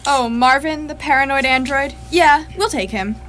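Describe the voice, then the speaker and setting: A woman's voice: high voice, moderate pace, fairly bright tone, a little hiss or hum. A woman playing a brash, impatient and flippant space adventurer in charge, curt and casual, in live radio theatre.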